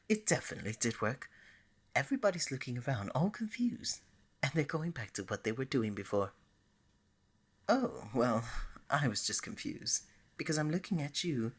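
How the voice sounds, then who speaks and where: Casting: woman as a lovestruck deity of romance for ASMR roleplay, very low and breathy, flirty, coaxing, scatterbrained. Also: full character voice. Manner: playful, coaxing, bubbly and hesitant by turns.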